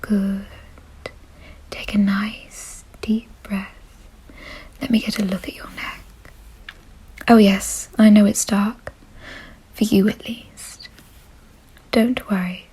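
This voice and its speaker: Woman acting as kindly vampire noblewoman, hushed airy whisper, slow pace, reassuring, doting, refined, ASMR roleplay. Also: medium pitch, level tone, poor audio. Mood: coaxing, soothing. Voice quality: soft, breathy whisper. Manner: gentle, reassuring, genteel, unhurried. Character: kind, doting aristocratic female vampire owner.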